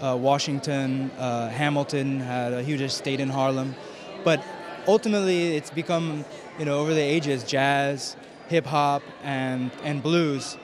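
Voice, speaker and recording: Man interviewed amid crowd bustle, halting delivery. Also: medium pitch, fairly even intonation. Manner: halting, searching for words. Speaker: film director and writer. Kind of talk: red carpet interview. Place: film festival red carpet. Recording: busy crowd and urban noise behind. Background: crowd chatter.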